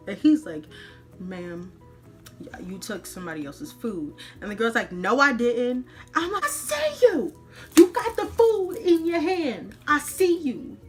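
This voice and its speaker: A woman podcast host telling a personal story in an animated, colloquial way, dramatically acting out the dialogue. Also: on the high side, slow pace, faint music behind.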